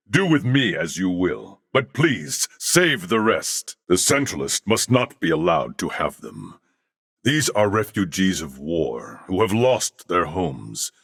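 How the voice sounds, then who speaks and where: A man acting as an armored cyborg in a video game, courteous and earnest, with formal, measured, solemn diction. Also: fairly low pitch, fairly bright tone, dry recording, clean studio sound, full character voice. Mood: urgent, pleading.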